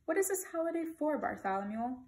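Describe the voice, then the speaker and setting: A woman's voice: high voice, big pitch swings, brisk, a little mellow, pitched above the performer's own voice. A woman voicing a puzzled, fretful old storybook bell ringer who mutters and exclaims.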